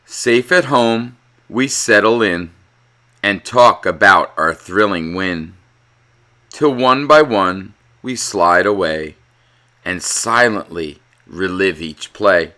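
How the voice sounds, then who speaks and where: A man giving an expressive, dramatic read-aloud in the manner of a children's storyteller. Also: deep voice, slow pace. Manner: animated, dramatic delivery.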